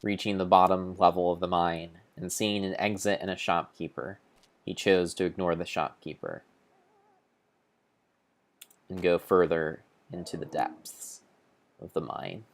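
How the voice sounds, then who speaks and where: A man giving a grave, theatrical, storybook-style narration of video game play, in deliberate phrases with pauses. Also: sits low, fairly even intonation. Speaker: streamer narrating his gameplay.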